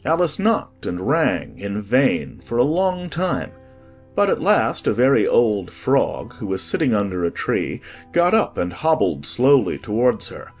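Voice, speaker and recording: Low, animated male storyteller, slightly husky. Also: measured pace, faint music behind. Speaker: audiobook narrator. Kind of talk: scripted storybook narration.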